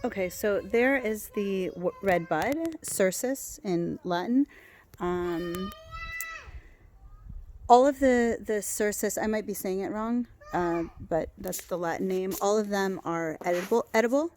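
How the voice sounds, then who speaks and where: A woman's voice: medium pitch, a little slow, darkish tone, slight background noise. A woman guiding a casual outdoor plant walk, talking in a chatty way with many fillers and restarts, recorded on a handheld phone in a garden. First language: not Hebrew. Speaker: herbal plant walk guide.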